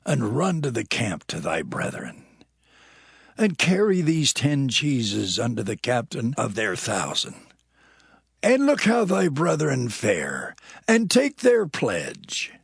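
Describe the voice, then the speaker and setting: An actor playing an old farming father in a dramatized Bible, giving instructions in a husky, halting, gravelly voice. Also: mid-range voice, no room sound, clean studio sound, heavily acted. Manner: slow, halting, swooping delivery. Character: old father, farmer sending his son on errand. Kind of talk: dramatized Bible audio acting.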